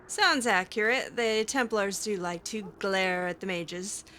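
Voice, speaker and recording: A woman's voice: mid-range voice, a little slow, bright, forceful tone, a little hiss or hum. A woman improvising casual in-character dialogue as she plays a role-playing game, chatty and hesitant with a lightly sardonic edge. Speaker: gamer role-playing her character aloud.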